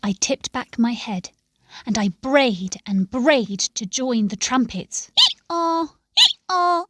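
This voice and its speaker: Slow, hushed woman storyteller. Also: on the high side, breathy edge, no echo, studio quality. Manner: measured, expressive, hushed phrase endings. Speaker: story reader. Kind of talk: scripted storytelling narration.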